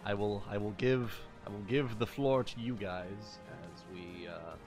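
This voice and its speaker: Man, game master, unhurried halting delivery, casual tabletop narration, music in background. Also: fairly low pitch. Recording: music playing behind the voice.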